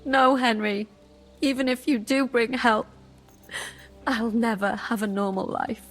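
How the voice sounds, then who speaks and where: A woman's voice: a little high, fairly even intonation, measured pace, soft background music. A woman playing a bitter, emotionally wounded young medieval woman in a game, weary and full of feeling. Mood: sorrowful. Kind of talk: dramatic game cutscene acting.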